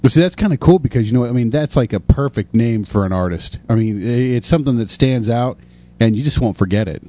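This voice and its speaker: Male interview host, mid-pitched, soft mellow tone, easygoing and informal. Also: lively intonation, brisk, no room sound. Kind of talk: casual interview questions.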